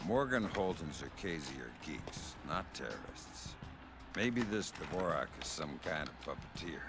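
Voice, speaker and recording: An actor playing a gruff, sardonic middle-aged American covert operative in a video game, low and gravelly, with dry deadpan delivery. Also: male voice, measured pace, music in the background, heavily acted.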